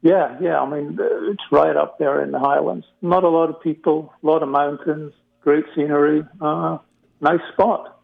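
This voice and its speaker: Gravelly Scottish man on a tinny line. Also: fairly high pitch, flat delivery, moderate pace. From Scotland. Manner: hesitant, many fillers. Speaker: guest. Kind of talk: casual interview chat. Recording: tinny phone-like remote line.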